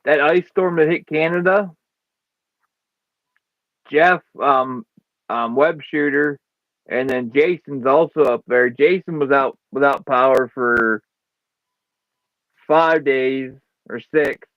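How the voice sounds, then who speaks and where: A man's voice: mid-range voice, slow pace, long pauses, dry recording. Easygoing man on a dull dry mic. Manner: easygoing, laughs easily. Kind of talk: casual chat.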